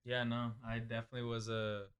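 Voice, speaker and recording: Young male co-host, mid-pitched, relaxed and loose, laughs a lot. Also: fairly quick, clean tone. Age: young adult. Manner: laid-back, laughs often, swears casually. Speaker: podcast co-host. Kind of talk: casual chat.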